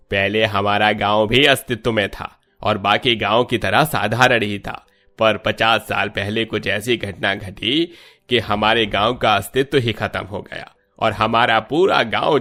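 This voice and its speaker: A man's voice: fairly low pitch, a little slow, sharp tone. A man playing a kindly older villager in a Hindi cartoon story, proudly explaining things to a youngster.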